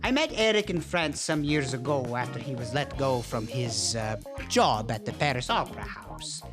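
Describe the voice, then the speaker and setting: A man's voice: mid-range voice, expressive, normal speed, music in the background, lightly acted. A man playing a genteel count and horror host, speaking with a theatrical, formal, grandiose manner. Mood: hammy storytelling.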